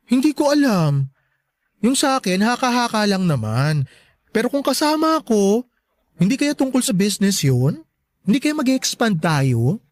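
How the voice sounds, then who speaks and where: Sassy, animated campy best friend acted by a woman. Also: a little high, moderate pace, dry recording. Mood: playful, puzzled. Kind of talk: Tagalog radio drama acting.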